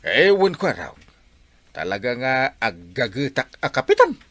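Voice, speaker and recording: A man playing a jovial, proud village captain in a folksy, excitable comic radio drama style. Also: mid-range voice, a little slow. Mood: cheerful, earnest. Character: jovial male village captain, proud, easily sidetracked from duty.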